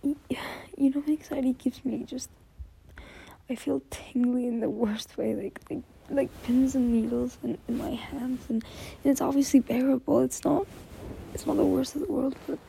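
A young woman speaking in an intimate, whispery monologue, halting and apologetic, anxious and close to tears. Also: sits high, steady tone, warm tone, a little hiss or hum, low-quality recording. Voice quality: breathy, whispery voice. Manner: halting, apologetic, stammering repetitions. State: anxious, tearful, close to crying.

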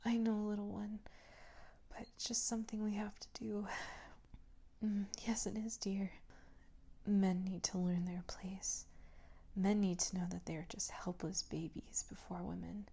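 A woman playing a domineering, cooing character in an intimate adult roleplay, mostly whispering in a breathy, coaxing, condescendingly tender manner. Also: medium pitch, level tone, a little slow, pauses often, warm tone. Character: domineering, cooing woman who soothes and commands an adult man.

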